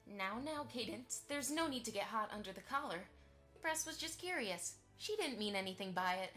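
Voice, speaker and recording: A woman's voice: on the high side, animated, moderate pace, sharp tone, soft background music. A woman playing a polite, chatty pony mother who keeps the peace in an audio drama. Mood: placating.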